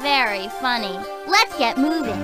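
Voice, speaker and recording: A woman's voice: high-pitched, animated, measured pace, loud music behind the voice. A woman playing a determined, businesslike kid detective who leads the team in a children's game. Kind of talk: scripted children's game voice acting.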